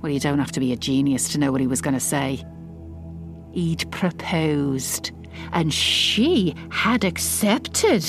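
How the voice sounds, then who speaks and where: A woman playing a possessive middle-aged mother in a confiding dramatic monologue, smug, mock-innocent and quietly malicious. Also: deep voice, expressive, slow pace, over music, exaggerated voice. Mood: indignant, possessive. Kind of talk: dramatic monologue, confiding fiction podcast narration.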